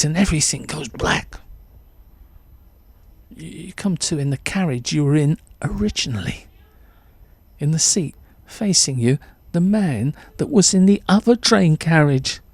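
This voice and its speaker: A man's voice: on the high side, lively intonation, unhurried, slightly rough, no echo. A man narrating a suspenseful short story in the second person, with theatrical delivery.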